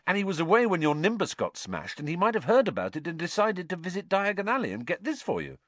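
A man puts on an excitable teenage boy wizard for an audiobook. He is blunt and impatient, exclaiming in a raised, very lively pitch. The sound is dry. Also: quick pace, no echo, full character voice. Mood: eager.

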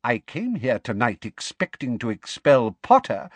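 A man voicing a pompous, excitable middle-aged government minister from a storybook, blustering and spluttering with emphasis. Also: mid-range voice, a little slow, slightly rough, no echo. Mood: gleeful, excited.

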